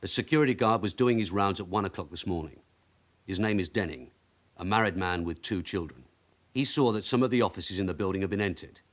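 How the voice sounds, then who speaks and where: An actor playing a shrewd, weary veteran detective sergeant in a radio drama, with a London accent and a blunt, sardonic, authoritative way of questioning. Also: male voice, low voice. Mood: grave, pressing. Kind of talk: naturalistic radio drama acting.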